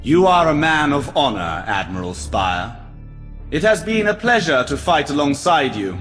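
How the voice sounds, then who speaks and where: Stately alien leader, heavily reverberant. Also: male voice, on the high side, sing-song, moderate pace, fairly bright tone. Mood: solemn, gracious. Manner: formal, stately, solemn. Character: proud, courteous male alien Eldar leader. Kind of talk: video game cutscene acting. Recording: long reverb, music underneath.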